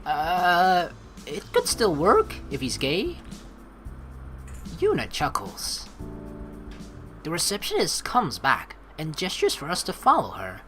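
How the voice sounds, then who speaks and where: Low-voiced, animated woman gamer. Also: takes it slowly, long pauses, soft background music. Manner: animated, informal, chatty asides. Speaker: let's play host. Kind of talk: gameplay commentary, reading game text aloud.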